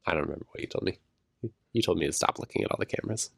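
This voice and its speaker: A man co-hosting a casual late-night podcast at home, talking softly and adding caveats, a bit congested. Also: sits low, racing, gravelly, husky, no echo, clean studio sound. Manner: quiet and hedging, laughs easily. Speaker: podcast co-host. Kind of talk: casual chat.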